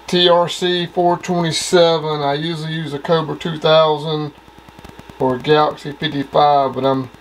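A man's voice: fairly high pitch, flat delivery, moderate pace, poor audio. A man giving a casual demonstration of gear as a hobbyist. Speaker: radio hobbyist reviewing gear. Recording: clear background noise.

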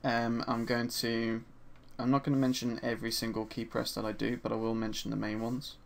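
A man with a gravelly, slightly hoarse voice talks through a screen-recorded lesson at his desk. He is relaxed and chatty, filling pauses with ums and uhs as he explains each step. His voice sits at an ordinary middle pitch. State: slightly hoarse from a sore throat. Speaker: 3D art instructor. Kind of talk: screen-recorded software tutorial narration.

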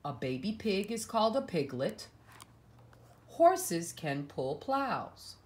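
Female picture-book reader for young kids: unhurried, highly expressive intonation, dramatic emphasis, hushed asides. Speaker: storyteller reading a picture book aloud to children. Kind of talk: expressive read-aloud storytelling for young children.

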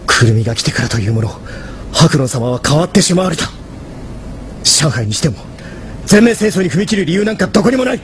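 Young gangster underling, earnest n pleading, really animated, formal, bit of noise behind. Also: male voice, fairly high pitch, a little fast, pauses often. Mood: impassioned reproach. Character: earnest, agitated young male mafia lieutenant, devoted and reproachful. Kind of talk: Japanese drama CD acting.

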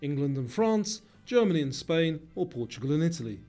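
A man delivering scripted quiz narration, reading out questions and answers with clear enunciation. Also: fairly high pitch, very animated, a little fast, dark tone. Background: music.

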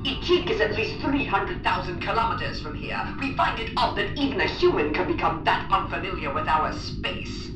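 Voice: mid-range voice, lively intonation, moderate pace, hardly any pauses, natural voice. An actor playing a stern, officious alien patrol captain in a radio drama, formal and commanding. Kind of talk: space-adventure radio drama acting. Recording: distant echoey voice, music behind.

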